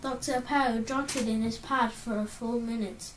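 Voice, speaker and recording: A woman's voice: a little high, steady tone, a little slow, a little hiss or hum. A woman narrating an amateur audiobook, reading with occasional slips and restarts. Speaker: audiobook reader. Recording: roomy, distant mic.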